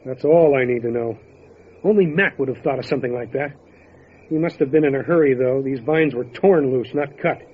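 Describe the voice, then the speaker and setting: An actor playing a steady, resolute county sheriff in an old time radio drama, matter-of-fact in manner. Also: male voice, medium pitch, a little fast, husky, close to an everyday voice. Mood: focused. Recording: dull lo-fi audio with light noise.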